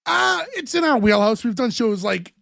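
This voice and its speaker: A man's voice: very high voice, sing-song, normal speed, vocal fry, a bit bright, no echo, clean studio sound. A man in his late thirties co-hosting a podcast, recapping a TV episode in casual chat with lots of fillers, his voice worn. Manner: chatty, with many fillers. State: losing his voice. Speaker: podcast co-host.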